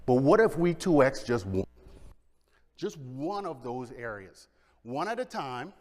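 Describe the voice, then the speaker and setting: A middle-aged man from the Bahamas, giving an energetic conference presentation and asking the audience questions. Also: mid-range voice, a little slow. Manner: energetic, engages audience with questions and shows of hands. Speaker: conference speaker. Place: large conference hall. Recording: long hall reverb.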